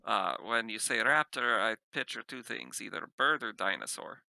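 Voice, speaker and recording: A man playing a cautious, dryly skeptical spaceship captain in a tabletop roleplay, speaking in halting, clipped phrases. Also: on the low side, gravelly, sharp tone, no echo, heavily acted. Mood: wary, questioning.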